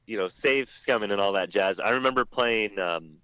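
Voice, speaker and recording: A man's voice: on the low side, normal speed, sharp tone, smooth, no room sound, muffled recording. A man in his thirties telling casual anecdotes as a podcast co-host. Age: 30s.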